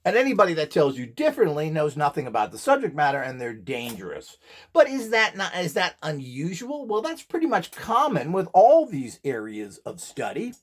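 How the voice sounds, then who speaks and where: A man with a fairly high voice speaks in a very animated way, swinging widely in pitch. He lectures informally and with strong opinions, blunt and scornful, leaning hard on his points. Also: normal speed. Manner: rhetorical questions, mimicked quotes. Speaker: presenter and seller of radionics devices.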